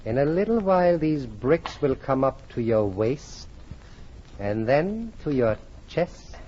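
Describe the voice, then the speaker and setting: A man's voice: medium pitch, big pitch swings, slow pace, mellow. An actor playing a vengeful, mock-courteous Italian nobleman with gleeful cruelty, in the theatrical style of 1940s radio drama. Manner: sly, mock-friendly, theatrical. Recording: noisy, muffled 1949 radio broadcast.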